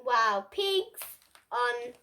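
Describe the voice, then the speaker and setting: A child reading a picture book aloud in an expressive, halting way, with asides to young listeners. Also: medium pitch, slight background noise. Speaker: child reader, host of a kids' book channel.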